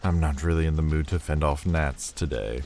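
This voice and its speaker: A man voicing a sullen, proud demon lord who speaks with slow, scornful deliberation in an audio roleplay. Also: rumbling low, darkish tone, a little hiss or hum. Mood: disdainful.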